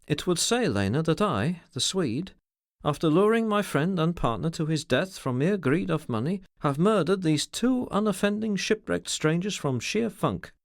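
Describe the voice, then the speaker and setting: A brooding, sardonic gentleman with formal, ironic phrasing, his voice pitched up and moving widely, as a man reading aloud acts him out. He speaks in a measured way. The sound is close and dry. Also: animated, dry recording. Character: brooding, sardonic, educated middle-aged man.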